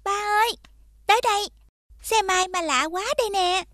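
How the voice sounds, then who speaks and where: A woman voicing a kind-hearted little peasant girl in an animated fairy tale. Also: very high-pitched, moderate pace, dry recording, clean studio sound. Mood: kind, curious.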